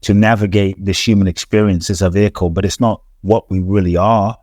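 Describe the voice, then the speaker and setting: A man with a lowish voice talks earnestly as an interview guest. His speech is reflective and full of restarts and repeated words. The sound is dry and close. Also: steady tone, a little fast, darkish tone, dry recording. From grew up in London. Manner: earnest, repetitive, frequent restarts and stammered repeats. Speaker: transformational teacher, podcast guest.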